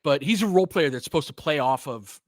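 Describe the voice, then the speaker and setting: A man's voice: a little high, animated, quick pace, no room sound, studio quality. A man hosting a casual live basketball show, conversational and opinionated, chatting with listeners in informal, slangy language. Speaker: host of a basketball audio play-by-play show. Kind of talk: casual live sports discussion with loose play-by-play.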